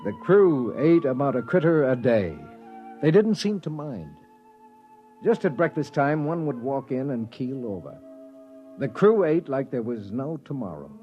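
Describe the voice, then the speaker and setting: A man's voice: medium pitch, lightly acted. An actor playing a wry spaceship crewman who narrates a grim expedition in a dry 1950s radio drama style. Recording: dull old radio recording with music.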